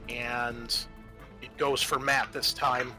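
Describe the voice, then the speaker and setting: A man acting as game master, narrating a tabletop roleplaying session in a halting, improvised way with many fillers. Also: medium pitch, level tone, sharp tone, soft background music.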